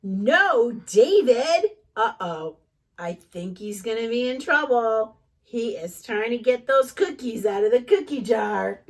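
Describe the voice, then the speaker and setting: A young woman, a speech therapist, reading a picture book aloud to preschool children in warm, expressive teacher talk. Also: fairly high pitch.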